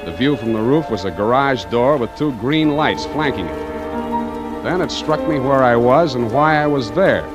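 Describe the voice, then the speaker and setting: An old radio recording with music behind a cool, cynical American private eye as a male actor plays him. He is wry and terse, in hardboiled 1940s noir style, with a medium-pitched voice. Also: animated, a little slow, close to an everyday voice. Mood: wry narration. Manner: dry, sardonic, terse. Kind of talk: 1940s radio noir acting and first-person narration.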